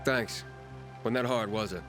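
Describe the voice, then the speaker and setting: Man as a wry, low-voiced young wanderer. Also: measured pace, close to an everyday voice. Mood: wry, guarded. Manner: sarcastic, plain-spoken. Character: wry, defiant young male wandering outsider. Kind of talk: naturalistic video game acting. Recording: background music under the voice.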